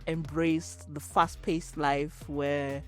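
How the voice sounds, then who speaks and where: A woman from Nigeria, a startup co-founder answering interview questions in a measured, reflective way, pausing often with fillers. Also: sits low, little pitch movement, faint music behind. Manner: measured, thoughtful, pauses with fillers.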